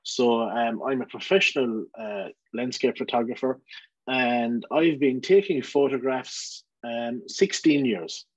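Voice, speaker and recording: A man's voice: medium pitch, measured pace, dry recording. Irish male guest speaker, easygoing with ums, dry, slightly dull audio. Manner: relaxed, frequent ums. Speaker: guest speaker, landscape photographer. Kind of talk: online lecture.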